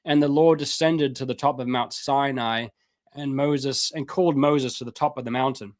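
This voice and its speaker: A man on a live stream reading Bible passages aloud and commenting on them, with casual asides and his voice going hoarse. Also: fairly even intonation, normal speed. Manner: reads scripture aloud then comments, rises in pitch when fervent; casual asides, repeats words, clears throat. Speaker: streamer teaching on scripture.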